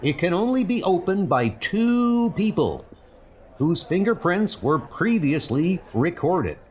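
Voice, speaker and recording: A man's voice: sits high, sing-song, takes it slowly, voice pushed up, heavily acted. A man voicing a self-important bank president with formal, speechifying delivery. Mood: proud.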